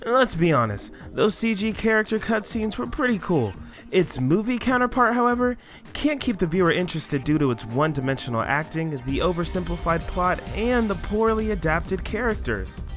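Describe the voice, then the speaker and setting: A young American man co-hosting a scripted countdown with excitable, hammy exasperation. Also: sits high. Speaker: co-host of a countdown show. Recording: music under the voice.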